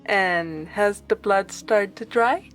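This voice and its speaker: A woman's voice: mid-range voice, slow, faint music behind, close to an everyday voice. A woman playing a refined, wry vampire princess in an ASMR roleplay, with dry and politely formal delivery. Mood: weary, exasperated.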